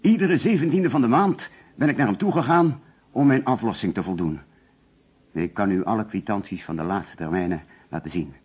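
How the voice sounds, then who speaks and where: An actor playing an uneasy, defensive insurance agent who explains himself hesitantly under police questioning in a radio play. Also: male voice, lowish voice, moderate pace, breathy edge. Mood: indignant. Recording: dull old radio recording.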